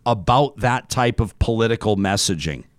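A man hosting a conversational interview, feeling his way through his questions in a halting manner. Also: lowish voice, animated, no echo, studio quality. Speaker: talk show host.

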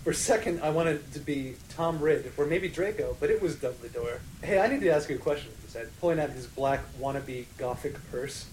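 A man's voice: fairly high pitch, expressive, a little fast, hardly any pauses, a little mellow, a little hiss or hum, poor audio. A man co-hosting a podcast, giving a mock-serious, theatrical comic reading of fan fiction. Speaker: podcast co-host.

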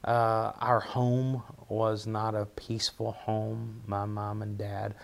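A middle-aged man with an Appalachian accent, telling personal stories as a guest in an interview, halting and reflective, often repeating words. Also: fairly low pitch, little pitch movement, vocal fry. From southwest Virginia, United States. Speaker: pastor, podcast guest.

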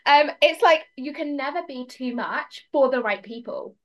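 A woman with a fairly high-pitched voice talks as a mentor guesting on a podcast. She is emphatic and encouraging, coaching in a casual, conversational way. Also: lively intonation, normal speed.

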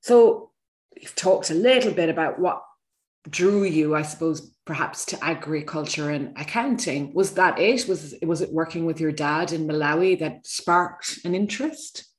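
A woman with a lowish voice works as the interviewer on a podcast. She speaks conversationally, posing questions and responding to what her guest says. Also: normal speed.

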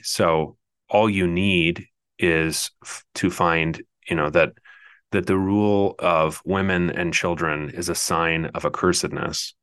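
A man co-hosting a podcast, explaining things in a thoughtful, steady way during a casual theological discussion. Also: extremely low pitch, no echo, clean studio sound. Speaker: podcast co-host.

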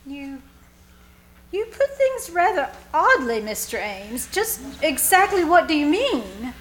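A woman's voice: high-pitched, sing-song, slow pace, fairly bright tone, heavily acted. A woman playing a stern, skeptical schoolteacher in live old-time radio suspense style, speaking formally and indignantly. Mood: guarded.

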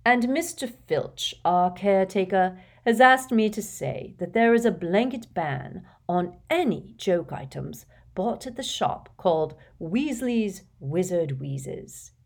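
A woman voicing a genial, commanding elderly wizard headmaster in a storybook, giving a stately, measured address. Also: fairly low pitch, animated, vocal fry, heavily acted. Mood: stately, announcing.

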